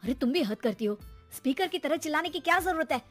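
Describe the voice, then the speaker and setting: A woman voicing an irritable, teasing father who works from home, wry and complaining, in an animated story. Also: high voice, quick pace, soft background music, voice pushed up. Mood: annoyed.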